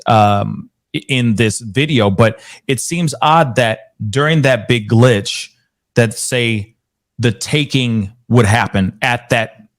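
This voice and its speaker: A man hosting a casual, unscripted interview, phrasing his thoughts in a halting, tentative way. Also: medium pitch. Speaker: show host interviewing a guest.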